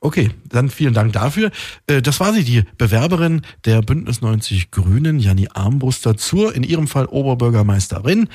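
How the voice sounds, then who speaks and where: A man's voice: mid-range voice, lively intonation, normal speed, warm tone, slightly rough, dry recording, studio quality. A man hosting a radio interview, putting questions and addressing listeners in a conversational way.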